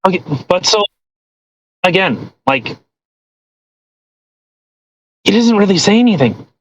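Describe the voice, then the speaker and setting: A woman hosts a casual podcast with a mid-pitched voice. She rambles and circles back over her points, sounding incredulous and mocking. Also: very animated, takes it slowly, a little hiss or hum, rough recording. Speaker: podcast host. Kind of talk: casual chat.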